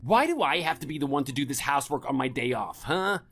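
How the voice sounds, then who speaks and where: A man's voice: mid-range voice, expressive, fast, fairly bright tone, slightly rough. An actor playing a domineering, contemptuous middle-aged husband scolding his wife in a scripted audio drama. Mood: demanding.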